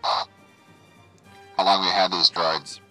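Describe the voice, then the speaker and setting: Man putting on a sharp radio-filtered space trooper. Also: low voice, level tone, slow, faint music behind, exaggerated voice. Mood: curt, commanding. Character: curt male armored space soldier, commanding. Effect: radio voice changer with static bursts. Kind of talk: film character catchphrases in a gadget demo.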